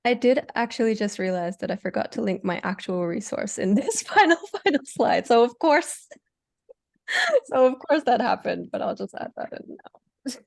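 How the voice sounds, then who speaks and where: A woman's voice: fairly high pitch, very animated, normal speed, vocal fry. A woman giving an online presentation over a video call, hesitant and full of fillers and restarts. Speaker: fellowship presenter.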